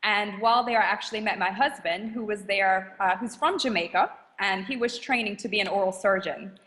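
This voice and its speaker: A woman's voice: mid-range voice, a little fast, a bit bright, long reverb tail. A woman from New Orleans, a dentist being interviewed, who talks in a friendly way and laughs easily. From New Orleans, USA. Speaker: dentist guest. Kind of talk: casual interview.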